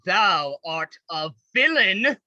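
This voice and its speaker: A woman playing a proud, indignant old senator and father in a Shakespeare radio play, imperious and deliberate. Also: medium pitch, sing-song, bright, forceful tone, dry recording, lo-fi sound, full character voice. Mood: scornful, angry. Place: recorded at home over a video call.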